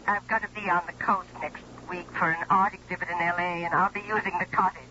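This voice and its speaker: A thin telephone effect with faint noise frames a breezy middle-aged aunt. Her voice is bright and sharp, in the chatty manner of 1970s radio drama. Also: on the low side, fairly even intonation, fast, lo-fi sound. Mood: friendly. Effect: telephone filter.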